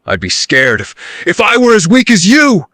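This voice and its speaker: Bright, taunting demon enemy. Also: male voice, high-pitched, huge pitch swings, moderate pace, no room sound, studio quality, exaggerated voice. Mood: mocking menace. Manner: theatrical, sneering taunts. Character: taunting, menacing, mocking demon game enemy.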